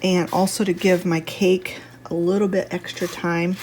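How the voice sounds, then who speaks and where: A woman with a slightly stuffy, congested voice, giving a casual, chatty home cooking demonstration at an unhurried, thinking-aloud gait. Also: fairly low pitch, level tone, a little mellow, slight background noise. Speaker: home cook, video host. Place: home kitchen.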